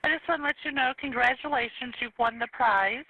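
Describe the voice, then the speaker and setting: An American woman police dispatcher speaking on a telephone call in a deliberate, mocking manner. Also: sits high, husky, dry recording. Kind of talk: phone call.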